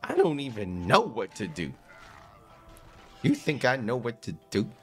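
A man's voice: medium pitch, huge pitch swings, measured pace, faint music behind. A man in his thirties casually commentating his card game on a live stream, joking and rambling as he plays. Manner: joking, rambling, often laughs at himself. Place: small room indoors.

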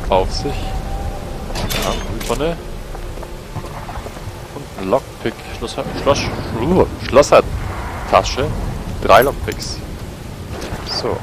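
A man's voice: medium pitch, very noisy. A man with a cold giving rambling gameplay commentary over a headset mic. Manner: rambling, self-correcting. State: has a cold. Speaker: let's play host.

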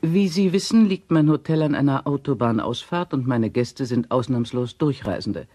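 Male actor reading hotel owner's statement for radio play: medium pitch, mellow, formal, matter-of-fact. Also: normal speed, no echo, clean studio sound, natural voice. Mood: factual, concerned. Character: resolute middle-aged female hotel owner's quoted testimony.

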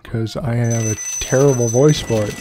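A man's voice: medium pitch, normal speed, over music. A young man with a relaxing voice commenting casually on a game, swearing freely and poking fun at himself. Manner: relaxed, profane, self-deprecating rambling. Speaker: let's play host.

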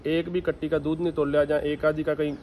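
A man's voice: a little high, flat delivery, quick pace, darkish tone, slight background noise. A man who owns bulls at a dairy farm, answering interview questions in a firm, emphatic, explanatory way. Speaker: bull owner and dairy farmer.

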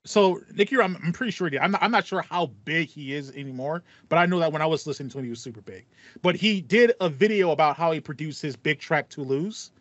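A man's voice: fairly high pitch, quick pace, a bit bright, dry recording, muffled recording. A young man hosting a podcast, chatting casually and acting out his reactions as he tells stories. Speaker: podcast host. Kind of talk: casual chat. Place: small home room with foam panel, indoors.

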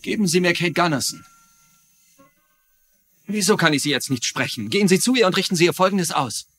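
An actor playing an ambitious, smooth-talking politician in a dubbed TV mystery, with a husky voice and persuasive manner. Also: male voice, sits high, animated, a little fast, a bit bright. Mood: urgent. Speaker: actor in a German dub.

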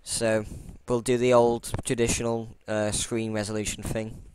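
A man's voice: lowish voice, little pitch movement, a little slow, noisy background. A man casually talking through a computer tutorial while recording his screen, rambling with many fillers and restarts, and apologizing for his boring voice. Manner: self-deprecating. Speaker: tutorial channel host.

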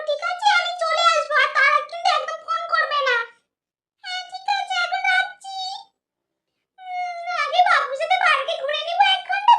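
A woman's voice: extremely high pitch, bright, forceful tone. A woman voicing a giddy, scheming younger sister with a gleeful, singsong manner, in a comic sketch. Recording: echoey room with noise.

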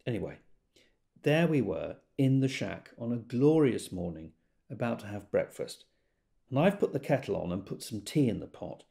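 Rueful fussy everyman telling his story, creaky voice, really animated pitch, audio drama monologue. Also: normal speed. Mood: wry, irritated. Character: series of rueful, self-doubting everyday monologue narrators.

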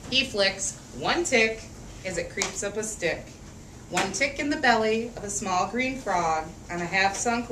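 A woman's voice: medium pitch, fairly bright tone. Lady doing storytime for kids, sing-song and emphatic, bit far from the mic in an echoey room. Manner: sing-song, emphatic, drawn-out rhyming phrases with questions to listeners. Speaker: storyteller reading aloud to children. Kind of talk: animated picture-book read-aloud with call-and-response. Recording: roomy, distant mic, faint background noise.

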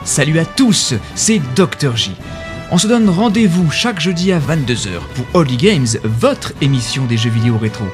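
A man's voice: a little high, lively intonation, normal speed. Upbeat male radio promo host over music. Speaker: web radio show host. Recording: music behind the voice.

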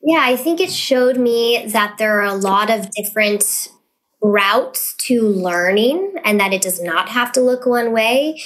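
A woman's voice: on the high side, animated, measured pace. A woman talking as a podcast guest, thoughtful and earnest as she explains her views. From United States. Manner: thoughtful, earnest, with fillers and restarts. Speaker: guest, literacy business founder. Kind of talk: casual podcast interview.

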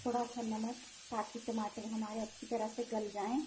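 A woman calmly talking through a home cooking recipe step by step, in a patient, instructive way. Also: on the high side, normal speed, slight background noise, low-quality recording. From Himachal Pradesh, India. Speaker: home cooking channel host. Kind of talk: step-by-step cooking demonstration voice-over. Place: home kitchen.

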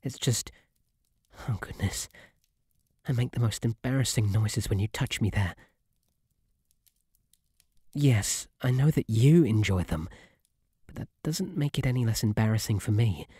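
A man acts out a shy male bunny butler with a British accent, soft and breathy. He talks slowly and formally, deferring and stammering in a flustered way. It is an intimate ASMR roleplay, recorded close and dry. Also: lowish voice, expressive, no echo, full character voice. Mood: embarrassed, shy. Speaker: roleplay audio performer. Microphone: close, dry microphone.

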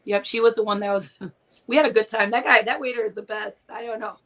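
A woman's voice: a little high, steady tone, fast, crowd noise. A woman in her forties from Puerto Rico, a guest chatting casually on a live video call and telling stories with lots of laughs. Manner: chatty, laughs often, tells stories with quoted asides. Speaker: guest cooking and Disney channel creator. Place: small home room indoors. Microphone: video call from a webcam.

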